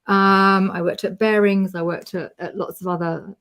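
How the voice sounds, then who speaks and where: A woman's voice: mid-range voice, fairly even intonation, moderate pace, a bit bright. A middle-aged woman from Britain, a guest in a casual interview, chatty with frequent fillers and laughter.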